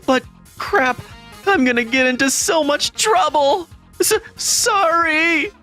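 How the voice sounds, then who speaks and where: A man plays an introverted teenage schoolboy narrating a manga romance. He is gloomy, lovestruck and quick to panic, putting himself down with dramatic flair. Music plays underneath. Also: very high voice, bright, forceful tone, full character voice. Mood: panicked. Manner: self-deprecating, dramatic. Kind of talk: first-person manga story narration.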